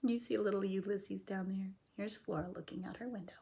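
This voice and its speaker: A woman reading a children's story aloud dramatically, stretching key words and dropping into hushed, chatty asides. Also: mid-range voice, steady tone, a little fast, vocal fry.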